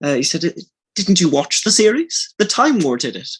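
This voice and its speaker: Halting man in casual podcast chat. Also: high-pitched, very animated, breathy edge. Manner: halting, restarts phrases repeatedly. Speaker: audio drama actor.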